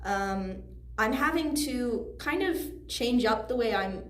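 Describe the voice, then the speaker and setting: A woman's voice: a little high, lively intonation, slow. A teenage girl, a student actor, giving earnest, reflective answers in a casual interview, often hedging as she goes. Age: teens, high school student. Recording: roomy echo, faint background noise.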